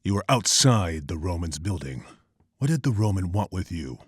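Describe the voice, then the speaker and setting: Guy doing a dark brooding vigilante, low husky voice, clipped and grim. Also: big pitch swings, moderate pace, no echo, clean studio sound, full character voice. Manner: terse, clipped and somber. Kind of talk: comic narration.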